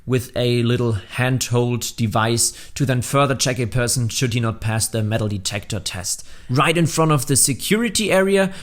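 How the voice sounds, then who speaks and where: A man presenting his hobby build as a video host, explaining it step by step and talking directly to viewers. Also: mid-range voice. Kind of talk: narrated hobby build showcase.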